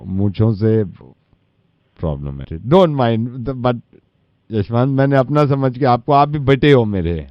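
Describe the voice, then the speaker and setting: A man playing a solemn middle-aged father on a prank call, slow, apologetic and paternal, with a deadpan delivery. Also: mid-range voice, dry recording, highs cut off.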